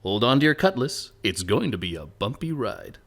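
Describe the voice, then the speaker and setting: A man's voice: lowish voice, big pitch swings, moderate pace, gravelly, over music. A man narrating as the announcer of a radio play in a theatrical old-time style.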